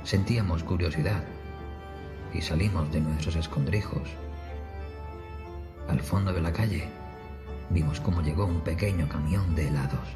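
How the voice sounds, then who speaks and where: A man with a very low voice narrates a scripted horror tale in the first person. His storytelling plays over clearly audible background music. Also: normal speed, pauses often.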